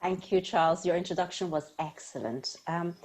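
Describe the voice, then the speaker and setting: Passionate, articulate woman, lowish voice. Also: normal speed, darkish tone, poor audio. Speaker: charity founder and CEO. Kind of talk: webinar panel talk.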